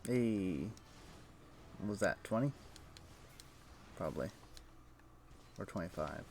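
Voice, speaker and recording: A man's voice: low-pitched, lively intonation, takes it slowly, vocal fry, a little mellow, slight background noise. A man giving casual, offhand gameplay commentary as a livestreamer, muttering short reactions as he plays. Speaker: game livestreamer.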